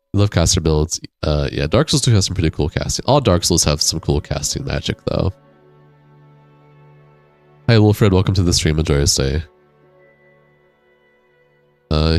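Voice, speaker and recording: Deep-voiced man chatting over game music. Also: darkish tone. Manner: laid-back, rambling, reads and answers chat. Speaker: streamer. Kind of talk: casual live-stream chat while gaming. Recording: game music playing behind.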